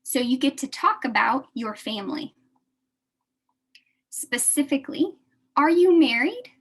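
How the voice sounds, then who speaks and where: A young American woman teaching a beginner English class, speaking slowly and repeating each phrase for her adult learners. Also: high-pitched, animated.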